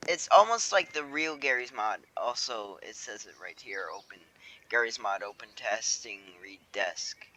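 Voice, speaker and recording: Slow, bright-voiced young guy. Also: mid-range voice, slight background noise, poor audio. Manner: rambling, many fillers and self-corrections. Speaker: game creator showing his game. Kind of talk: casual screen-recorded game walkthrough. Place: home room.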